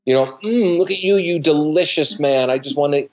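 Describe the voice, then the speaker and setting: A man's voice: fairly high pitch, fairly quick, a little mellow, low-quality recording. A man in his fifties giving casual, colloquial advice on a video call, emphatic and repetitive, acting out quoted remarks. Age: 50s. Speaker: dating coach, interview guest. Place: living room at home, indoors. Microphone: computer mic on a video call.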